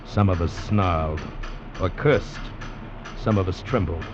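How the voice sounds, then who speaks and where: An actor playing a grim convict witness who solemnly narrates a tale of horror in 1940s radio drama style. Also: male voice, low-pitched. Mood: tense, suspenseful. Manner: solemn, dramatic, deliberate storytelling. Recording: muffled old radio recording with music.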